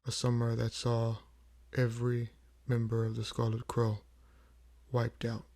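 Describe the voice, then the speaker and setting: A man performs a weary, proud vampire in an audio drama. The voice is gravelly and creaky, unhurried, and stays on an even, restrained line. He broods through each phrase, leaving heavy pauses. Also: mid-range voice, natural voice. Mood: grave, matter-of-fact. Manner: measured, brooding, pausing often. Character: weary, proud old vampire with a buried past. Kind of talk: slow dramatic audio roleplay monologue.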